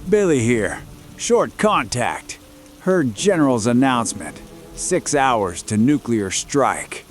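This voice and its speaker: A man's voice: mid-range voice, sing-song, slow, soft background music. A man playing a survivor giving a terse, urgent report in an apocalypse radio drama, in clipped short sentences.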